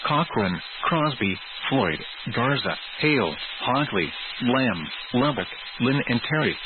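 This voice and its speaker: Tinny noisy radio, guy reading scripted severe weather alerts, formal measured kinda robotic announcer. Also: medium pitch. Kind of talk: scripted emergency weather bulletin.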